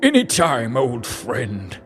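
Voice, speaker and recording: A man's voice: medium pitch, huge pitch swings, slow pace, over music, voice pushed up. A man playing a noble, defiant old wizard-like cannabis grower in a theatrical, solemn storytelling voice. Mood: warm, knowing.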